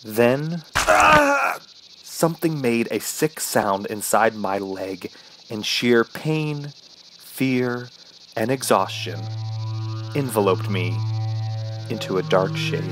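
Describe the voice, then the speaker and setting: An actor playing an injured, panicked man alone in the wilderness, narrating his ordeal in halting, theatrical radio horror style. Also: on the low side, expressive, low-quality recording. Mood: exhausted, suffering. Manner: halting, anguished, theatrical delivery. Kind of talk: dramatic first-person radio horror narration. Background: music.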